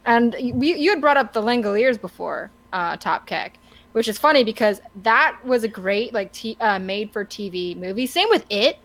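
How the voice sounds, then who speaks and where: A woman's voice: a little high, animated, normal speed, a bit bright, poor audio. A woman in her thirties chatting casually as a podcast co-host. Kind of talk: casual chat.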